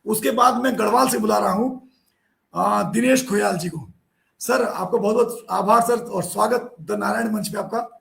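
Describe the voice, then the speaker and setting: A hoarse man hosting a live online poetry meet from a remote spot with a poor network, often apologizing and chasing guests about their cameras. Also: high-pitched, normal speed, fairly bright tone. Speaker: live stream host.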